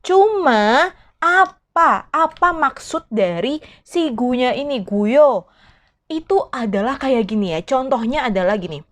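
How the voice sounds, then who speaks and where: High-voiced chatty woman teaching Korean. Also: lively intonation, a little slow. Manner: chatty, asks listeners questions, mixes in English words. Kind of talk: casual online lesson.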